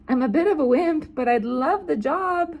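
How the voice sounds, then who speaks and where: A woman voicing a timid, fussy male cook who is always scared, in a squeaky, trembling voice. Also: lively intonation, moderate pace, gravelly, darkish tone.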